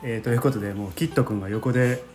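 A man's voice: mid-range voice, rapid-fire, a little mellow, soft background music. A man with a Kansai accent hosting a casual chat, mixing polite speech with dialect and frequent interjections. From Japan, Hyogo. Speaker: podcast host, amateur theater group member.

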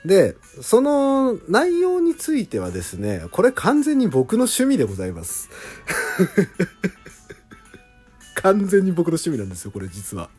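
A man's voice: high voice, huge pitch swings, a little slow, long pauses, a little mellow, breathy edge, faint music behind. A man hosting a casual solo behind-the-scenes talk, speaking politely with enthusiastic emphasis.

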